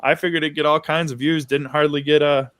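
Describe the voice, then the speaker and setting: A man's voice: a little high, flat delivery, a little fast, a bit bright, no room sound. Easygoing storytelling man, dry close mic. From Maine. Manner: easygoing storyteller, folksy asides. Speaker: guest. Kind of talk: casual chat on a livestream.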